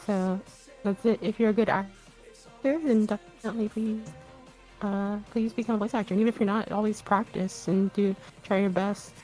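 A woman's voice: medium pitch, fairly even intonation, moderate pace, warm tone, soft background music, poor audio. A woman co-hosting a casual live stream, laughing often and reading viewers' questions. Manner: light, laughs often, reads chat questions.

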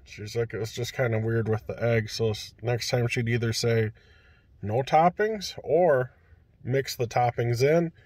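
Slow-talking man reviewing food in his car. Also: medium pitch, a little mellow, no room sound. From Minnesota. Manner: chatty, folksy, joking about his wife. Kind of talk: casual food review vlog. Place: inside a parked car. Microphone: phone at arm's length.

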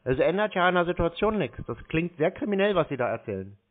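A man's voice: fairly high pitch, very animated, fast, a little hiss or hum. A man talking bluntly and insistently in an argumentative phone conversation, challenging the other side. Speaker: scam baiter taking a phone call. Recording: tinny narrow-band phone line.

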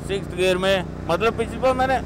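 Young male motovlogger, high voice, excited speed callouts, heavy wind noise from a helmet-cam mic. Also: fast. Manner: excited, calls out speeds while riding. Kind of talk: casual riding commentary. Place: on a motorcycle on an open expressway. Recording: loud wind and engine noise. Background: wind and traffic noise.

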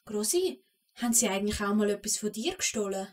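A woman voicing a young, impatient kobold girl with a Swiss German accent in a children's audio play, childlike and excitable. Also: medium pitch, fairly quick, close to an everyday voice. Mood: eager, impatient.

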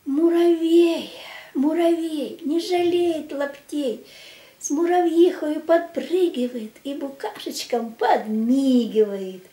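An older woman reciting a children's verse tale in a theatrical, drawn-out and emphatic way. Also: high-pitched, fairly even intonation, mellow. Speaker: amateur children's storyteller with a plush puppet. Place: small room at home. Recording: echoey home room recording.

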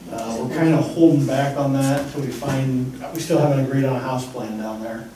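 A man's voice: medium pitch, brisk, mellow. A man giving an economic development report at a council meeting, casual and rambling. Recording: echoey room from far off with faint noise.

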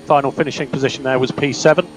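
A man working as a race engineer, giving calm, measured, clipped instructions over team radio. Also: mid-range voice, faint music behind. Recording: noisy radio link with car hum. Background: car engine hum.

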